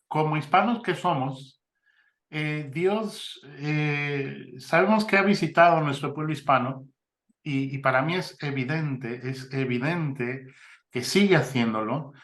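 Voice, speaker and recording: A man's voice: medium pitch, fairly bright tone, reverberant. A man hosting an interview, affirming his guest and speaking with many fillers and restarts. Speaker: interviewer, mission ministry host. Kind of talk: conversational interview. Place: Spain.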